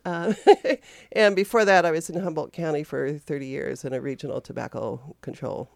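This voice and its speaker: A woman in her fifties with a low, gravelly voice talks as a guest on a radio talk show. She is chatty and self-deprecating and tends to wander off topic. The recording is close and dry. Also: steady tone, moderate pace, no room sound. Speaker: guest, health promotion specialist.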